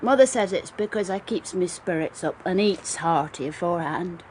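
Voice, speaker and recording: A woman's voice: lowish voice, moderate pace, a little mellow, a little hiss or hum, close to an everyday voice, poor audio. An actress playing a sullen, put-upon young hired girl in a radio drama, with a rural southern English accent and plaintive dialect speech. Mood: aggrieved. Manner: dialect-heavy, aggrieved, plaintive.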